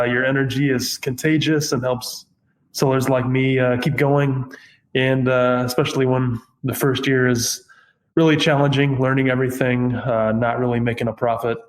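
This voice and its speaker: A man's voice: mid-range voice, poor audio. A man from Missouri leaving a grateful voicemail for a podcast host, hesitant with many fillers and choked up with emotion. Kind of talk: recorded voicemail message.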